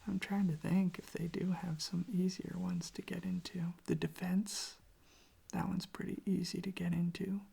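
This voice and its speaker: Breathy, crackly half-whispered ASMR voice. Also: medium pitch, flat delivery, moderate pace, warm tone. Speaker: host of a relaxation channel showing records. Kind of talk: soft-spoken ASMR, casual rambling.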